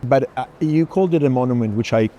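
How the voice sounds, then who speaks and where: A man with a soft, dark-toned voice hosts a conversation on the move at an art fair. He feels his way through sentences and turns them into questions for his companions. A light layer of venue noise sits behind him. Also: medium pitch, fast, highs cut off. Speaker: host moderating a walking conversation. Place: indoor art fair, walking among stands. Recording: faint busy fair hall noise.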